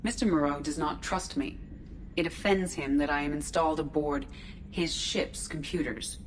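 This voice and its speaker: A woman playing a calm, formal ship computer intelligence in a video game, precise and polite. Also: sits low, normal speed, slight background noise, natural voice. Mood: formal, detached. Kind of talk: video game dialogue acting.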